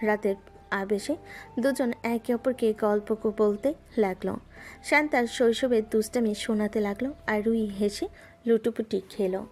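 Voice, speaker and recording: Woman narrating a romance in a fairly high voice. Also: steady tone, normal speed, darkish tone. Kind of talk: scripted storytelling narration. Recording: music bed underneath.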